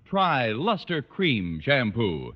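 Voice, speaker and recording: An American male announcer delivers a vintage radio commercial. His mid-pitched voice swoops very widely. The sound is muffled, with long reverb. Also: huge pitch swings, takes it slowly. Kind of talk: 1940s radio advertisement read.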